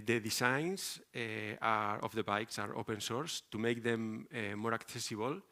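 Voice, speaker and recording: A man with a strong Spanish accent gives a conference presentation. His voice is fairly low and clean, and he speaks slowly and haltingly, pausing often between phrases. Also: fairly bright tone, clear, resonant tone. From Spain. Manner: halting, hesitant with frequent pauses. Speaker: architect presenting a project.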